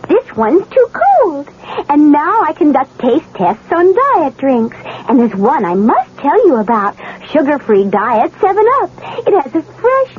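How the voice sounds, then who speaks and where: Bubbly grown fairy-tale girl, very high voice, 1970s radio ad, tinny sound. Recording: thin telephone-like old radio recording.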